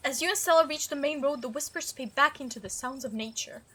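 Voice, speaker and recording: A woman with a high, bright voice narrates a game script aloud. Her delivery is dramatic and expressive, like a creator playing through a story for viewers. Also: fast. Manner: expressive, reacts aloud while reading. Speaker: gaming video creator reading a visual novel aloud.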